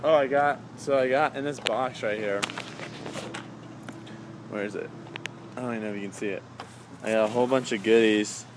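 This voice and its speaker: A man's voice: mid-range voice, fairly even intonation, normal speed, long pauses. Distracted young man vlogging over background noise. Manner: easily distracted, chatty, exclamatory. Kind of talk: casual rambling vlog to camera. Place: on the go, car then grocery store. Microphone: handheld camera he sets down. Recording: clear ambient and vehicle noise.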